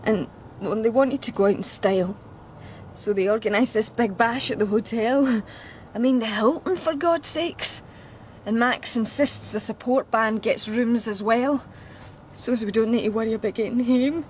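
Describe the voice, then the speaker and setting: An actress playing a shaken, chatty young woman musician with a Glasgow Scottish accent, talking in a halting, blunt, colloquial way in a radio drama. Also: fairly high pitch, slight background noise, close to an everyday voice. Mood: nervous, chatty. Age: young adult. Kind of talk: naturalistic radio drama acting.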